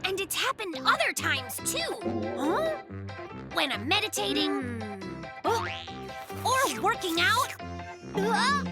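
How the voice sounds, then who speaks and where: Boastful boy bear cub, very high voice, wildly swooping, excitable, dramatic, children's cartoon, music behind. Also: slow pace, pauses often, heavily acted. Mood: dramatic, bragging. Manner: bragging, exclamatory, dramatic.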